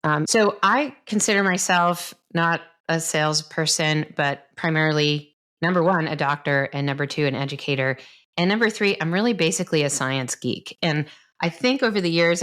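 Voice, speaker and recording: A woman's voice: on the low side, fairly bright tone. A woman in her fifties, a doctor, talking casually and enthusiastically, explaining science plainly and laughing often. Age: 50s. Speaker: doctor, podcast host. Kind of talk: casual conversation.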